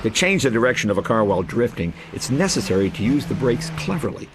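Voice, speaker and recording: Casting: male narrator for racing game lessons, mid-pitched with lively intonation, instructional read under roaring car engines. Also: quick pace. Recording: loud car engine noise over voice. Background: race car engines and driving sounds.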